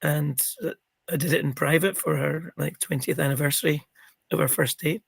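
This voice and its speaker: A middle-aged man with a croaky, gravelly voice, chatting as an interview guest and rambling with many ums and restarts. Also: fairly high pitch, fairly even intonation, moderate pace. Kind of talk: casual interview chat.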